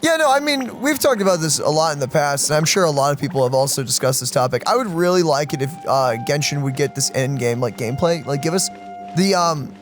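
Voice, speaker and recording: A chatty man with a slightly high, animated voice co-hosts a video game playthrough. He gives opinions in casual style, with faint music behind him. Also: quick pace. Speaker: let's play co-host. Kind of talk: casual gaming chat.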